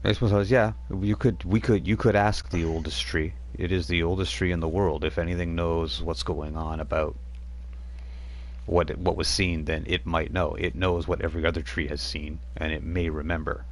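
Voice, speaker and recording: A man's voice: sits low, little pitch movement, moderate pace, slight background noise. A man running a tabletop role-playing game on a live stream, rambling with frequent restarts as he narrates. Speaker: game master.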